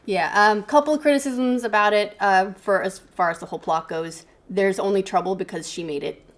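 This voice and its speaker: A woman's voice: mid-range voice, a little fast. A woman giving her take as a panelist in a casual film review chat, blunt and casually profane.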